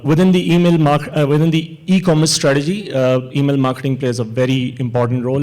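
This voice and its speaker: A man from Pakistan with a Pakistani accent, speaking as a panelist at a marketing conference, relaxed and easygoing with frequent fillers. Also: medium pitch. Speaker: panelist, airline email marketing manager.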